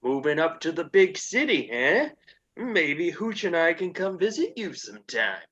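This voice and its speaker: A comedian voicing a lovable old dog owner in a comedy radio play, with a folksy, drawling manner. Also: male voice, fairly high pitch, big pitch swings, a little slow, pushed and bright, dull audio, exaggerated voice.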